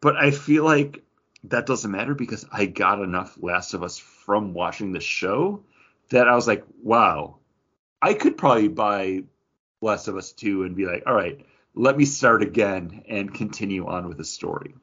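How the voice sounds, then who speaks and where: A man's voice: on the low side, lively intonation, normal speed, bright, forceful tone, husky, highs cut off. A man co-hosting a podcast in casual pop culture chat, rambling along and often quoting his own thoughts with plenty of filler "like". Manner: rambling, self-quoting, peppers talk with "like". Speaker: podcast co-host.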